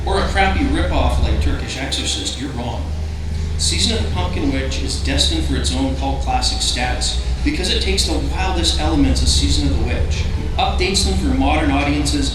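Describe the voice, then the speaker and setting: A man reading a film review aloud at a public book launch, recorded in a big echoing room. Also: on the high side. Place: large echoey room at a live festival event. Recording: echoey noisy hall, from far off.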